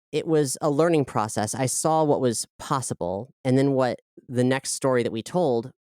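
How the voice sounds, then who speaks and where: Woman, low register, clean crisp tone, dry close sound, talking conversationally as a creative director being interviewed. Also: darkish tone, no room sound. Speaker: audio drama creator and director, interview guest.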